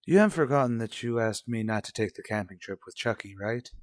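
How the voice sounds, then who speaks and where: An actor playing a stern, guarded husband in a radio soap drama, speaking in a formal, measured, skeptical way. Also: male voice, mid-range voice, lively intonation, no room sound, clean studio sound, lightly acted. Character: stern, guarded husband and father in troubled marriage. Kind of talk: revived vintage radio soap drama acting.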